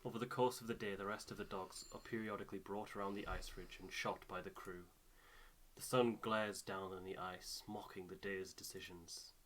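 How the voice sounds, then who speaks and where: A man reading video game narration aloud in a measured storybook way, with casual asides as a playthrough co-host. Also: on the low side, fairly even intonation, a bit bright, slight background noise, low-quality recording.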